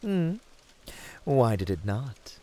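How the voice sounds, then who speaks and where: Swaggering demon , male actor: British, boastful and teasing, very animated mid-range voice, flirty roleplay audio. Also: medium pitch, slow. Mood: cocky.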